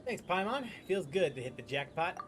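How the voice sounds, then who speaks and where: A man's voice: sits high, very animated, moderate pace, heavy background noise. A man streaming a game with casual, playful, rambling commentary, often repeating his phrases. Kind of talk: casual live playthrough commentary.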